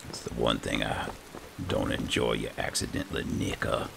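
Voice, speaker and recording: A man giving casual gameplay commentary, muttering to himself in a gravelly voice. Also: rumbling low, little pitch movement, normal speed, noisy background. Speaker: gamer, let's player.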